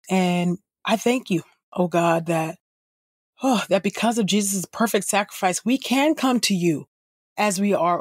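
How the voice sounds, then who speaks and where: A woman's voice: medium pitch, no echo, clean studio sound. A woman podcast host praying aloud in an earnest, fervent devotional style with repeated invocations. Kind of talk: spontaneous spoken prayer, devotional.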